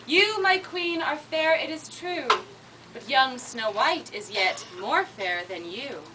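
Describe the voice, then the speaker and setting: A woman voicing a solemn magic mirror that speaks formally to a queen in a storybook tale, slow and measured. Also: sits high, lively intonation, recorded from a distance, noisy background. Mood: grave.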